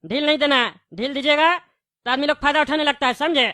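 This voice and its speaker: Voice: high-pitched, fairly even intonation, fairly quick, natural voice. A performer voicing a bossy, self-important male village politician in a Hindi cartoon, preachy and commanding. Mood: stern, bossy. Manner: commanding, preachy, repeatedly demands agreement. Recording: loud background noise with clicks.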